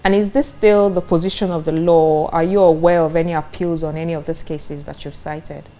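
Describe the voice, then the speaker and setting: A woman's voice: low-pitched, fairly even intonation, fairly quick, warm tone. A Nigerian woman in her thirties putting formal questions as a broadcast interviewer. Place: office, indoors. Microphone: lapel microphone.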